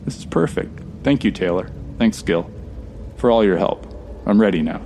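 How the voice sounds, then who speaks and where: Man acting a low, gravelly, polite traveler. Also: animated, normal speed, dark tone, a little hiss or hum. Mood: grateful, curious. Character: polite, awed male traveler between mysterious doors. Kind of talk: audio drama acting.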